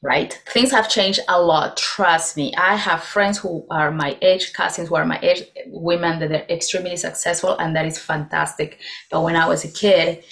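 Lady, lowish voice, rambling chatty stories. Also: fairly even intonation, normal speed, vocal fry, a bit bright. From Peru. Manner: chatty, storytelling with small restarts. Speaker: podcast guest. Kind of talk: casual interview chat.

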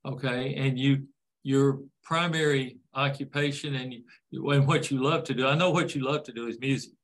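A man's voice: medium pitch, steady tone, normal speed, low-quality recording. An older man hosting a casual interview, folksy and rambling, with easygoing jokes. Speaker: interview host.

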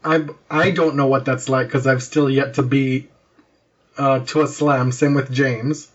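A man's voice: medium pitch, flat delivery, a little slow. A man hosting a podcast in a casual, conversational style, recorded with slightly dull audio. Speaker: podcast host. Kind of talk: casual chat.

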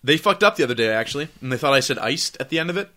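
A man hosting a casual podcast, hoarse while getting over laryngitis, talking in a loose, profane, rambling way with lots of fillers. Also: mid-range voice, lively intonation, rapid-fire, bright, forceful tone. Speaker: podcast host.

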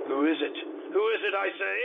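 A man playing an anxious, kindly gentleman who narrates a Gothic horror tale in formal, dramatic old-time radio style. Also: sits high, creaky. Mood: startled, nervous. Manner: formal, dramatic, deliberate, with nervous stammers. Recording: noisy, phone-like old-time radio sound.

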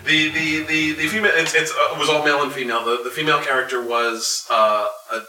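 A man's voice: fairly high pitch, little pitch movement, fast talker, background noise. A man chatting casually and jokingly as a panelist at a live convention session. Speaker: panelist, voice actor. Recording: roomy, distant mic, audience murmur.

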